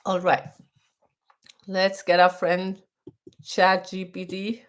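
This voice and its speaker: A woman's voice: lowish voice, monotone, slow pace. A middle-aged woman from India with an Indian accent, hosting a casual live stream for expats, chatty, often restarting her sentences and reading text aloud.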